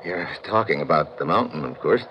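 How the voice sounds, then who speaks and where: An actor playing a wry, wary American adventure hero and narrator in an old-time radio drama, terse and slangy. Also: male voice, deep voice, lively intonation, brisk. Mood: wry banter. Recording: muffled, noisy vintage radio recording.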